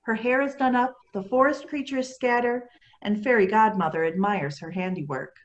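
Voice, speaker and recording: A woman's voice: fairly high pitch, moderate pace, muffled recording. A woman narrator reading scripted stage directions for a play.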